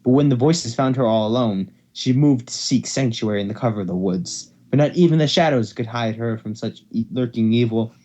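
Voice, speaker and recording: A man's voice: medium pitch, fairly quick, few pauses, dark tone. A man hosting an amateur fan fiction reading, who stumbles over words and repeats phrases as he goes. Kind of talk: amateur dramatic story reading.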